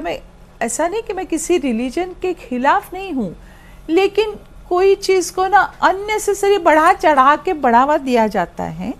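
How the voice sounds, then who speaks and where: A woman with a clearly high-pitched voice speaks off the cuff, as if interviewed. She is conversational and slips English words into her sentences. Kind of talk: spontaneous interview opinion.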